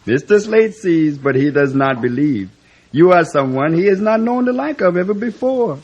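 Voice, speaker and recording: A man's voice: on the high side, lively intonation, moderate pace, a little mellow, breathy edge, highs cut off. An actor playing a loyal, philosophical island man with a lilting Caribbean accent in an old radio drama. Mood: reassuring. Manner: lilting, non-standard grammar. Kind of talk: old-time radio drama acting with rhymed sung narration. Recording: vintage radio recording with guitar music.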